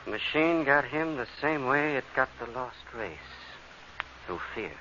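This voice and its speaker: A man's voice: mid-range voice, expressive, measured pace, sharp tone. An actor playing an intense spaceship crewman explaining mysteries in a dramatic 1950s radio style. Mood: somber revelation. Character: urgent, explaining spaceship crewman who pieces together mysteries. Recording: dull, slightly noisy vintage radio recording.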